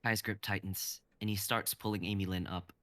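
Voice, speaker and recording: A man in his twenties narrating a dramatic script reading in a steady, descriptive way. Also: on the low side, normal speed, dry recording. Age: 20s. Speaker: narrator and reader.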